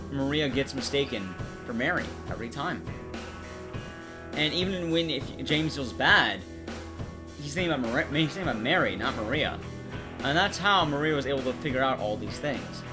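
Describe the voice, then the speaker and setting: A man's voice: medium pitch, expressive, moderate pace, over music. Man casually explaining a horror game. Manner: casual, conversational, addressing viewers. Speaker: streamer and horror game speedrunner. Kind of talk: live stream game commentary, explanatory.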